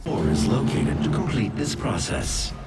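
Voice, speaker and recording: Low, melodic facility AI. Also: male voice, very animated, a little slow. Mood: calm, matter-of-fact. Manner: formal, precise, composed. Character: calm, courteous male artificial intelligence of a facility. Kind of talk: video game cutscene acting. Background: loud game music.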